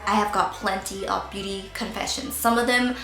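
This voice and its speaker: A woman's voice: mid-range voice, steady tone, moderate pace, a bit bright, roomy sound, faint music behind, low-quality recording. A woman in her thirties from Northern Ireland with a Northern Irish accent, chatting candidly to camera as a beauty vlogger, joking and tripping over words. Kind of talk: casual chat to camera.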